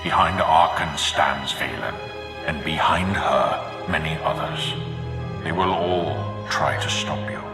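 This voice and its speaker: Cavernous, gravelly Sith ghost. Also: male voice, full character voice. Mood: stern, urgent. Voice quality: very deep, gravelly, breathy whispered edge. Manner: slow, solemn, portentous. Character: dead Sith lord's ghost, grim, solemn, stern male. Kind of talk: video game voice acting. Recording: echoing voice over music.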